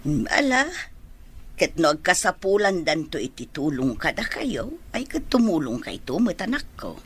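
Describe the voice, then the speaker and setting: An actress with a Filipino Ilocano accent playing a concerned, supportive middle-aged mother with a husky voice in a radio drama. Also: deep voice, very animated, normal speed, a bit bright, heavily acted. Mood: warm, advising.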